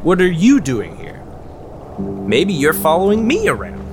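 A man's voice: on the high side, wildly swooping pitch, a little slow, over music, full character voice. Folksy, rambling fisherman, swooping intonation. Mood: chatty, nosy. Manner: folksy, rambling, good-natured. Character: folksy, chatty, nosy small-town fisherman and hobbyist. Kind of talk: audio drama dialogue.

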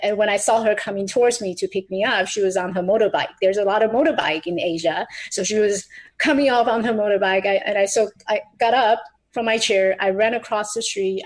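A woman shares her personal story on a remote podcast. She speaks earnestly and often restarts her phrases, with slightly grammar. Her pitch sits in a middle range. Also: low-quality recording. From Taiwan. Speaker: podcast guest.

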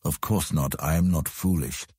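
A man acting as a cunning, humorless old lord in an audiobook drama, measured and condescending. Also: very deep voice, darkish tone, husky, dry recording, clean studio sound.

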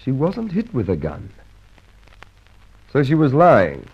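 Muffled old radio recording of a stolid police inspector. His husky voice rises and falls in lively fashion. He sounds blunt, skeptical and a touch baffled, in old-fashioned drama style. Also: mid-range voice, slow pace, full character voice. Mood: skeptical, puzzled. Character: stolid, gruff male police inspector, skeptical and slightly slow. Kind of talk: mid-century radio drama acting.

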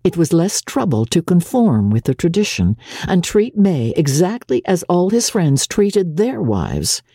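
A woman's voice: on the low side, very animated, a little slow, dark tone, husky, no room sound, clean studio sound. A woman narrator giving a dramatic audiobook reading of classic literature.

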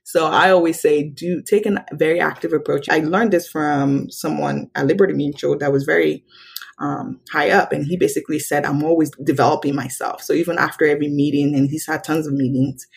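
A young woman from Nigeria, a podcast guest telling stories in casual conversation and acting out quoted dialogue with big jumps in pitch. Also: steady tone, fairly quick, vocal fry, slightly rough. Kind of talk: casual interview storytelling.

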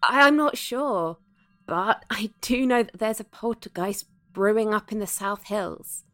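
A woman playing an eager, flustered, soft-hearted ghost in a conversational audio drama. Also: medium pitch, unhurried, dry recording, clean studio sound, soft background music, lightly acted. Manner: hesitant, conversational, easily sidetracked.

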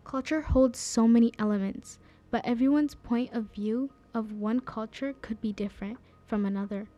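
Mellow-voiced young woman interviewer. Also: a little high, normal speed, lo-fi sound, soft background music. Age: young adult. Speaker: youth interviewer. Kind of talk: scripted interview questions and narration.

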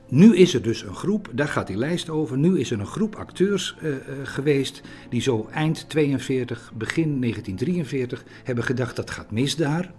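A man's voice: medium pitch, darkish tone. A man giving a hesitant interview, searching for words and often repeating himself. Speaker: interviewee recounting theatre history.

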